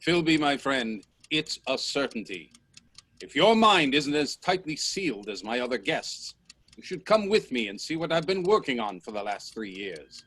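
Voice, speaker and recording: An actor playing a confident, eccentric professor and inventor in a radio play, assured, formal and grandly insistent. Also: male voice, mid-range voice, sing-song, normal speed, fairly bright tone, husky.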